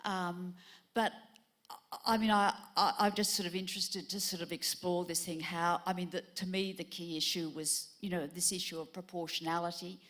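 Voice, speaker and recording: A woman's voice: fairly low pitch, fairly even intonation, normal speed, a bit bright. A woman, asking the panel a hesitant, meandering question. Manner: hesitant, searching, many restarts. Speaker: audience member asking a question. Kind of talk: live panel Q&A.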